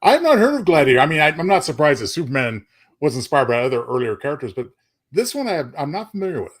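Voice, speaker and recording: Man, co-host, husky voice, animated pitch, middle register, agreeable, casual conversation. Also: fast.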